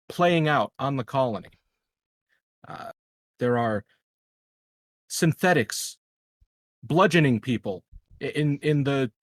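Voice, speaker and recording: A man playing a solemn, evasive colony president, improvising a formal, halting address to his citizens in a tabletop game. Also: fairly high pitch, dry recording, heavily acted. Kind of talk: improvised in-character speech.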